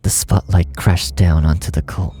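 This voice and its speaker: A man with a low, very dark voice reads horror fiction as a narrator. His delivery is dramatic and gravelly, often sinking into a breathy hush, with music playing behind him. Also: fairly even intonation, normal speed. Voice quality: gravelly, often dropping to breathy near-whisper.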